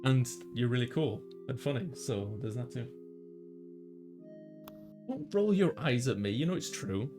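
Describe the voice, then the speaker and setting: A man's voice: medium pitch, expressive, unhurried, pauses often. A man hosting a let's play with casual, good-humoured, slightly flustered banter. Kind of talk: casual bantering game commentary. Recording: music playing behind the voice.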